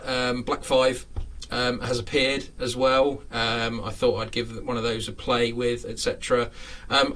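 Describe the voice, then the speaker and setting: A man in his late thirties talks casually to a live audience from his model railway room. He rambles, fills pauses with "um," and chuckles often. His pitch sits in the middle, and he is heard from a little way off the mic. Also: bright, forceful tone, distant mic, rough recording. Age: 30s. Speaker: host of a live chat. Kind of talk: casual live-stream chat.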